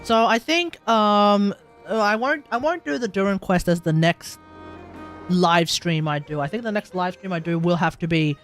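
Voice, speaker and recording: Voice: mid-range voice, normal speed, fairly bright tone, faint music behind. Chatty Australian streamer, rambling aloud. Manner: rambling, self-correcting, chatty. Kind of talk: casual live-stream commentary.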